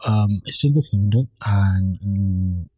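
A man's voice: low voice, slow pace, darkish tone, soft background music, low-quality recording. A man with a Nigerian Yoruba accent hosting an interview, asking questions and restating his guest's answers to check them. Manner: probing, restates guest's points. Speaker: podcast host. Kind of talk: conversational interview.

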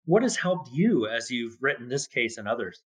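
A man's voice: medium pitch, sing-song, normal speed, fairly bright tone, husky. A man with a mid-range voice hosts a podcast interview. He talks conversationally and effusively, in long wordy runs that pile several questions together. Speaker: podcast host.

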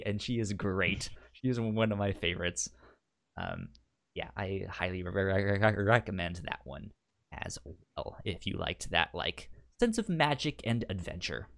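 A man with a somewhat low voice talks in relaxed podcast chat. He stammers a lot and repeats the first sounds of words before he gets them out. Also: creaky. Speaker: podcast co-host. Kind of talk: casual chat.

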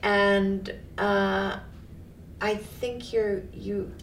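Chatty lady host, kinda creaky, sounds set back from the mic with faint hiss. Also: medium pitch, little pitch movement, distant mic. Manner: chatty, halting, restarts questions. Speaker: podcast host. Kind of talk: casual interview. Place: studio. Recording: roomy, off-mic, faint noise.

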